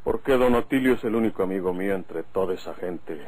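An actor playing a brooding, insistent bandit with a rural northern Mexican accent in an old radio drama. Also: male voice, sits low, a little fast, a little mellow. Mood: guarded. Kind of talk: golden-age radio drama acting.

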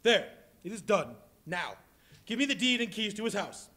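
An actor playing a bitter old miser in a live stage reading, speaking in a husky, clipped, scornful way in a large hall. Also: male voice, high voice, sing-song, moderate pace, sharp tone, full character voice. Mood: cold.

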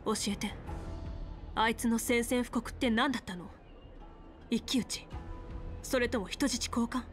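A woman playing a composed, authoritative general in an anime game, speaking in a measured, decisive way with music behind her. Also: on the high side, steady tone, natural voice. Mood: firm, questioning. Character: resolute female general, commanding and composed strategist. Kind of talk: Japanese anime game voice acting.

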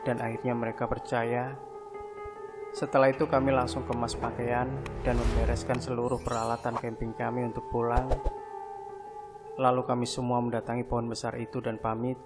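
A male narrator reads a first-person horror tale in a scripted, evenly paced style. His pitch barely moves, staying in a medium register. Clear background music plays beneath his voice. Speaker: story narrator.

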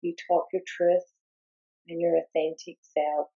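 A woman leading a guided meditation, giving gentle, soothing instructions in short, unhurried phrases with long pauses. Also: lowish voice, level tone, breathy edge, lo-fi sound.

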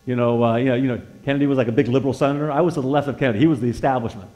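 A man in his sixties with an American accent preaches informally from a church lectern. His voice sits at a middle pitch. He talks conversationally, often doubling back on words as he searches for the next one, and turns to his listeners with questions as he shares personal testimony. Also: fairly even intonation, mellow, muffled recording. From northeastern United States. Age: 60s. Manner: halting, repeats words as he searches for them. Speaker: guest preacher. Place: church sanctuary, indoors, at a lectern.

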